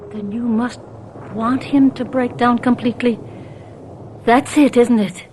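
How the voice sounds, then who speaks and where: An actress playing a protective, anxious wife in an old-time radio mystery drama, earnest and dramatic. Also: female voice, on the high side, steady tone, a little slow, a little mellow, close to an everyday voice. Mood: accusing. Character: protective, anxious wife, gentle but defiant. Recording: noisy old radio tape.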